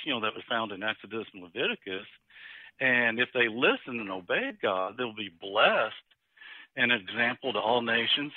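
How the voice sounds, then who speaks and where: A man's voice: mid-range voice, no room sound. A male podcast guest speaking over the phone, joking and laughing easily as he talks. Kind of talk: casual conversation about scripture. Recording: tinny phone line.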